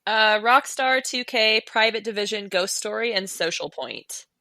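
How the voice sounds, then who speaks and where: A woman's voice: medium pitch, unhurried, pushed and bright, no echo. A woman co-hosting a podcast, chatting casually in a playful, informal manner. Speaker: podcast co-host. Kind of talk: casual chat.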